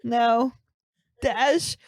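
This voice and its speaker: Podcast comedy role: flustered, dim young male student, played by a woman, painfully slow halting delivery, awkward strained voice, very dry sound. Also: on the high side, steady tone, a little mellow, no room sound. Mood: halting, earnest. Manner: halting read-aloud, stumbling over and mangling words. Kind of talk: improvised comedy podcast character.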